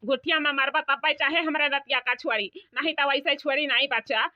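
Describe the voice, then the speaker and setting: A woman's voice: high voice, steady tone, a little fast, dry recording, voice pushed down, close to an everyday voice. A woman voicing a worried old village grandmother in a comic cartoon, lamenting and repeating herself with an Awadhi accent. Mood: alarmed pleading. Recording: dull lo-fi cartoon soundtrack.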